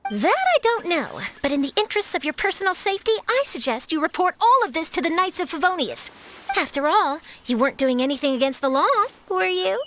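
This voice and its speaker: A woman's voice: extremely high pitch, sing-song, brisk, a bit bright, faint music behind, full character voice. A woman playing an eager, inquisitive young female journalist in a video game, with a perky, articulate, girlish delivery. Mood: helpful. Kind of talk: animated video game voice acting.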